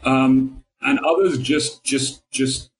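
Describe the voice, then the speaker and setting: A man giving measured, articulate interview answers as a guest financial planner, with somewhat formal wording. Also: medium pitch, poor audio.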